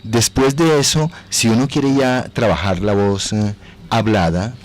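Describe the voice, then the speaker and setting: A man's voice: fairly low pitch, steady tone, unhurried. A Colombian man explaining things as a radio guest in a formal, courteous, teacherly way. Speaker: actor, voice trainer, guest. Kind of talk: explanatory interview talk. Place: radio station booth.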